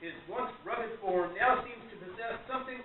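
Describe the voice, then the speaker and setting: High-pitched male narrator in very echoey room. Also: normal speed. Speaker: moderator and narrator of a radio play. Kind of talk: dramatic scripted narration. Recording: very echoey, distant, tinny, noisy hall.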